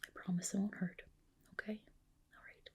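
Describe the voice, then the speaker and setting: A woman whispering a soothing ASMR roleplay as a reassuring clinician, in a soft, breathy voice. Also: moderate pace.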